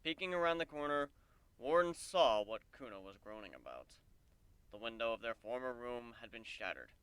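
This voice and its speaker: A man narrates fiction as a dramatic reading. His voice is bright and clear, at a middling pitch, recorded close and dry. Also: lively intonation, normal speed, no room sound, low-quality recording. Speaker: narrator, fan fiction reader.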